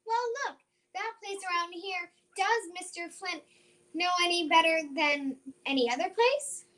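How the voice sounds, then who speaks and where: An eager young girl from the country in a radio play, acted out by a woman. Her voice is extremely high and bright. She is plucky and emphatic, repeating phrases to drive her point home. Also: unhurried. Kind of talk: old-time radio drama acting.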